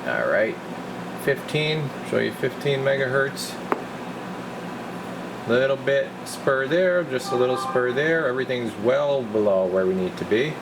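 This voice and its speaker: A man's voice: medium pitch, measured pace. A man giving a casual hands-on demonstration as a repair technician, talking himself through each step informally. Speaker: radio repair technician presenting his bench work. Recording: noisy workshop recording with loud steady hiss.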